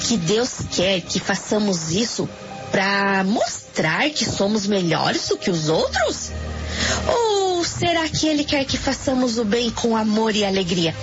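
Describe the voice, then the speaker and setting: A woman telling a Bible story to children on a radio program, asking questions and drawing out her words. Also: mid-range voice. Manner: lively, questioning, drawn-out. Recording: lo-fi radio sound with music behind.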